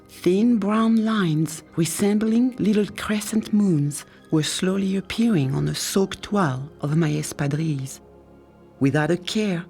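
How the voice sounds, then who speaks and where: Slow-paced woman reading a story over music. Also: deep voice. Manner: expressive, unhurried storytelling. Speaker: author reading own book. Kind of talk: literary audiobook narration. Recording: music under the voice.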